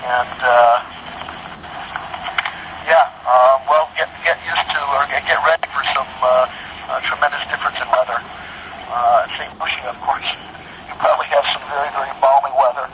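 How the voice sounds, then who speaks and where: A man's voice: medium pitch, fairly even intonation, moderate pace, pauses often. An American man making casual, rambling conversation as an amateur radio operator. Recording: dull, noisy internet radio link.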